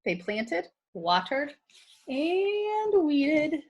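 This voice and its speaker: A woman reads a children's picture book aloud in a fairly high, very animated voice. She goes slowly and sounds teacherly, as if checking that young listeners follow. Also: muffled recording, a little hiss or hum. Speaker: read-aloud storyteller for children. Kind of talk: expressive picture-book reading with questions to children.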